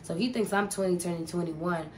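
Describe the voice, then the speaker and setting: A woman's voice: fairly low pitch, brisk, a little hiss or hum. A young woman telling a casual storytime, rambling and profane with lots of filler words. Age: young adult. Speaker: vlogger.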